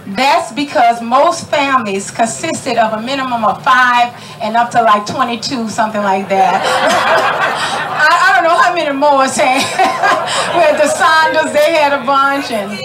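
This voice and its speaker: A woman's voice: sits high, lively intonation, a little slow, noisy background. Folksy New woman emceeing outdoors. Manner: chatty and folksy, laughing often, calling out to the crowd. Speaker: ceremony emcee. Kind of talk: speaking at an outdoor community ceremony. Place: outdoor tent at a park gathering.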